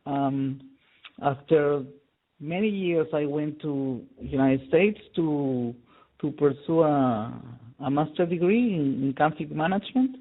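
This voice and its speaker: A middle-aged man from Peru speaks English. He guests on a talk show, explaining things hesitantly, with plenty of fillers and restarts. His voice sits fairly high for a man and comes through a tinny phone line. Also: takes it slowly. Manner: hesitant, many repeated words and fillers. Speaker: guest expert on conflict resolution. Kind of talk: phone interview, casual explanation.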